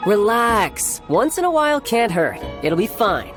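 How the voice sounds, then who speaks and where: Game role: young warrior big brother, smooth-talking and cocky, very animated delivery, music underneath. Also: male voice, high voice, a little slow. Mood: relaxed. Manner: smooth-talking, breezy, self-assured. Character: breezy, cocky young male fighter, affectionate big brother. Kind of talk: animated video game character acting. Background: background game music.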